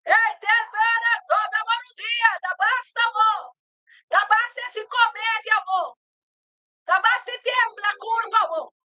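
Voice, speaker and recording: A woman preaching a fervent revival sermon, calling out exhortations and repeating prayer phrases in a loud, shouting delivery. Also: extremely high pitch, unhurried, dry recording. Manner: exhorting, repetitive chanted phrases, frequent interjected calls.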